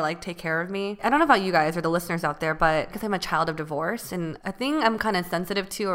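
A woman's voice: fairly low pitch, fairly bright tone. A young woman co-hosting a podcast, chatting casually and filling her talk with "like". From California. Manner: chatty, fills with "like" often. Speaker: podcast co-host.

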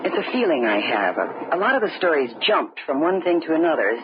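An anxious young-married type in a vintage radio mystery. She speaks earnestly and hesitantly with very varied intonation, on a muffled recording with clear noise. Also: low-pitched, sing-song, fast. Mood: uneasy, earnest. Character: uneasy married woman with a foreboding feeling. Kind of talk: 1940s radio drama acting.

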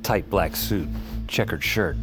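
A man's voice: sits low, slow pace, music in the background. An actor playing a wry, world-weary adventurer who narrates his own story in an audio drama with slangy lines. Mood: wry, resigned. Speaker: narrator and lead character. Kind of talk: first-person scripted narration in an audio drama.

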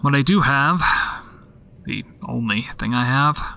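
An actor playing a weary, skeptical homicide detective dictating case notes in a dry, sardonic, matter-of-fact way for an audio drama. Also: male voice, medium pitch, measured pace, gravelly, pushed and bright. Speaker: detective narrator.

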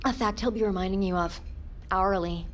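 An actress playing a playful, teasing marine biologist, chatting casually in a naturalistic audio drama. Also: female voice, mid-range voice, normal speed, a little hiss or hum, lightly acted.

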